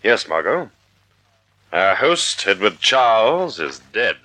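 An actor playing a suave, wry gentleman sleuth with a dramatic delivery in a vintage radio mystery. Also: fairly low pitch, sing-song, takes it slowly, very noisy. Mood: brooding. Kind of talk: 1940s radio acting. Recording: old radio broadcast recording.

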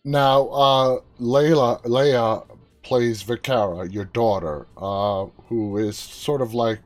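A man's voice: mid-range voice, steady tone, slow pace, soft background music. Conversational male interview host. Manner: conversational, filler-heavy questions. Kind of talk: live TV interview questions.

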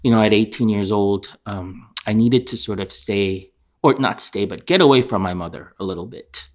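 A man from New York by way of Korea and England, giving halting, reflective answers as an interview guest. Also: fairly low pitch, darkish tone. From born in Korea, raised in England and New York.

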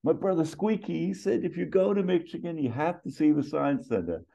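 Man putting on a high-pitched brash movie cop. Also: animated, a little fast, creaky, lo-fi sound. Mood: feigned innocence. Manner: loud, high-pitched, indignant outbursts. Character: brash fast-talking male cop, playful then exasperated, movie impression.

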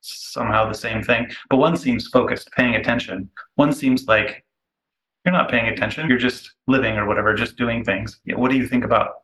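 A man's voice: medium pitch, steady tone, moderate pace, fairly bright tone, rough recording. A man taking part in a group discussion, casually thinking aloud in a loose, tentative way as he asks a question. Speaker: participant asking a question.

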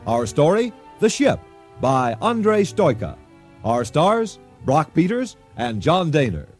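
A man's voice: on the high side, big pitch swings, takes it slowly, soft background music. A man giving a scripted introduction as a radio theater announcer. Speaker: program announcer.

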